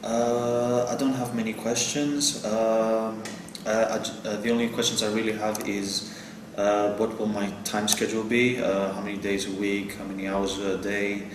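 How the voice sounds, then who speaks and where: A man's voice: fairly low pitch, flat delivery, moderate pace, natural voice. A teenage boy playing an earnest job applicant in a school role-play, speaking hesitantly with restarts. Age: teenage student. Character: earnest young male advertising job applicant. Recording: echoey room with clear noise.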